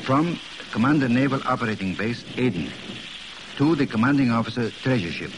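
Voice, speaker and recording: An actor playing a dutiful submarine radio operator in an old radio drama. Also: male voice, mid-range voice, animated, a little fast, lightly acted. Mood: dutiful, reporting. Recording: noisy old radio broadcast recording.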